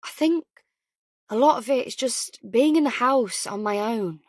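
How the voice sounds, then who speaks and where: A woman voicing a tired, guarded new mother, measured and emotionally frayed, in an audiobook. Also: a little high, animated, no room sound, clean studio sound. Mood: soft, weary. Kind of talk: audiobook character dialogue.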